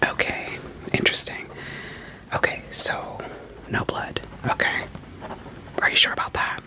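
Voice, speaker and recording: A woman doing a soothing ASMR roleplay as a therapist, whispering gently probing questions in a playful way and repeating short phrases. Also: measured pace, poor audio.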